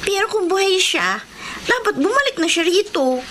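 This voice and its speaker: Playful filipino schoolgirl best friend, radio drama, super high voice, bit of noise. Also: big pitch swings, moderate pace. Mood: curious.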